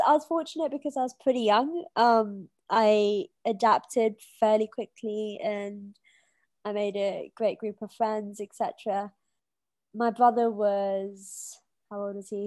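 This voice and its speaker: A woman's voice: medium pitch, unhurried, a little mellow, dry recording. A young woman from Kenya with a British accent, thinking aloud as a podcast guest. Her talk is hesitant and full of fillers and restarts, recorded close and dry. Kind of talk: casual conversation.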